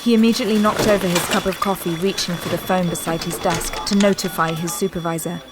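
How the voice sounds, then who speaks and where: Lower-voiced female audio drama narrator. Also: steady tone, fast, very noisy.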